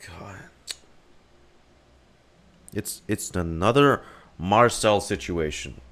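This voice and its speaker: A man's voice: low-pitched, very slow, fairly bright tone. A man streaming a video game, giving casual, exasperated reaction commentary full of swearing and muttered asides.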